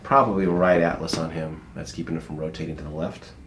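A man's voice: rumbling low, steady tone, fast, fairly bright tone. A middle-aged man talking casually as a chiropractor, informal and joking, with some salty language. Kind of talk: casual patient consultation and commentary. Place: small office treatment room. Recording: background noise, room ambience.

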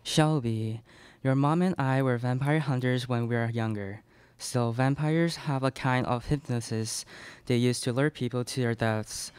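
A man's voice: medium pitch, darkish tone, clean tone, dry recording, natural voice. A young man playing a protective father and former vampire hunter in a live radio play. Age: young adult. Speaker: student radio play performer. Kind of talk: live radio theatre acting. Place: small classroom studio. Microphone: stand microphone, close.

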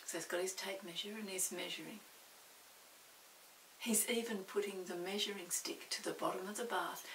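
Gentle, slow-reading woman in her sixties. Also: mid-range voice. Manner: gentle, often whispering to a puppet. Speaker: storytime host reading to children. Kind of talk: children's picture-book reading. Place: indoor room with a storybook set. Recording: roomy, distant mic, faint hiss.

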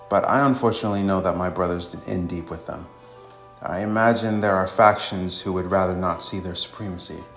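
Man reading as a grave, low-voiced brooding protector. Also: reverberant. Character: wounded, brooding male protector in a war.

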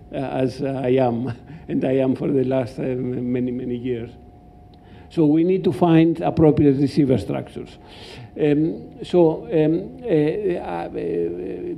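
An older man with a Greek accent giving an academic lecture as a professor, halting and explanatory, speaking into a microphone in a lecture hall. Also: on the high side, vocal fry, mellow, breathy edge. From Greece. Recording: dull, muffled lecture-hall recording.

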